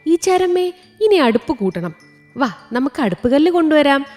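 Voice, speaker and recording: A woman's voice: high voice, sing-song, moderate pace, a little mellow, faint music behind. A woman voicing a hardworking, patient female cat in a children's cartoon story, calling out in a singsong. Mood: coaxing.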